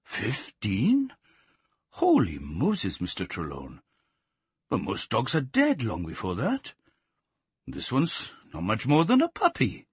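A man's voice: medium pitch, very animated, moderate pace, a bit bright, breathy edge, no room sound, clean studio sound. A man voicing a cheerful middle-aged Welsh sheep farmer with a singsong Welsh accent. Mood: amazed. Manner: lilting, singsong.

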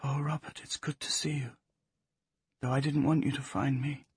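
A man voicing an earnest young English woman, brisk and emphatic yet tender, in a storybook reading. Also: fairly high pitch, expressive, slightly rough, dry recording, clean studio sound, pitched above the performer's own voice. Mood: hushed, tender.

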